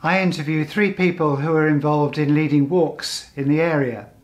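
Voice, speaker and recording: A man's voice: a little high, fairly even intonation, moderate pace. An older man introducing a community programme and its guests in a plain, friendly presenting manner over a webcam call. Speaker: programme host and interviewer. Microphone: webcam video call.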